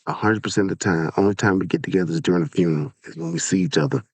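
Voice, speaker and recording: A man's voice: deep voice, very animated, very fast, creaky, a little mellow, no room sound. A man in his sixties with a head cold, hosting a casual solo podcast and speaking in an earnest, exhorting manner that circles back over his points. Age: 60s. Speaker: podcast host.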